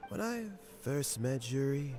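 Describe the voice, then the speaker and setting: A man playing a dignified, reflective old official in a video game, speaking formally with a gravelly voice. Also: medium pitch, big pitch swings, measured pace, darkish tone, soft background music. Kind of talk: scripted video game dialogue.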